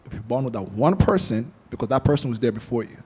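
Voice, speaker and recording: A man's voice: medium pitch, fast talker, husky. A young man from Angola whose first language is Portuguese, telling stories casually on a panel podcast and acting out what others said. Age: young adult. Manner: storytelling, animated quoting of others. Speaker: podcast guest.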